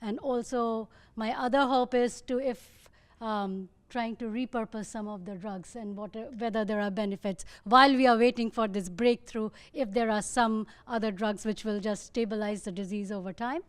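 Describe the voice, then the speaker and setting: Clear-voiced Indian woman researcher. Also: fairly high pitch, fairly even intonation, normal speed. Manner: thoughtful, searching for words. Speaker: muscle disease researcher. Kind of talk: panel talk on research.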